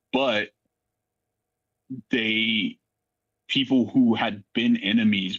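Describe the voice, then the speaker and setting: Gravelly low-voiced man, thoughtful and halting. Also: a bit bright, no room sound, lo-fi sound. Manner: thoughtful, halting, searching for words. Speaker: voice actor in a fiction podcast. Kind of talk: unscripted, reflective cast discussion.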